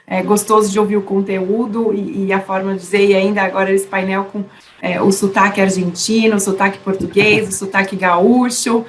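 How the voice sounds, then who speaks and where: Flat-toned woman closing an online event. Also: medium pitch, moderate pace, slight background noise, rough recording. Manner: warm, chatty with fillers. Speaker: event organizer closing the session. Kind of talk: closing remarks. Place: online webinar.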